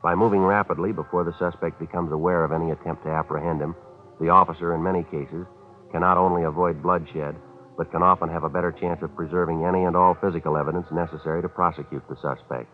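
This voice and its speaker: An actor playing a terse, no-nonsense police detective with a clipped, matter-of-fact delivery in old radio drama style. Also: male voice, deep voice, steady tone, fast, close to an everyday voice. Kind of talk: 1940s radio acting with scripted narration. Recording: tinny old radio recording.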